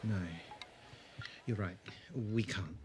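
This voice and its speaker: An actor playing a grave, resolute adventurer in an audio drama, explaining things deliberately and intensely. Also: male voice, lowish voice, music in the background. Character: grave, resolute adventurer leading his companions. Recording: clearly noisy background ambience.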